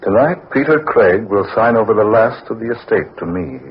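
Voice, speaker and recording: Low-voiced calculating male lawyer played by an actor, smooth schemer, vintage radio drama, muffled, faintly noisy. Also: expressive, normal speed, natural voice. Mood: cold, conspiring. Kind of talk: old-time radio drama acting.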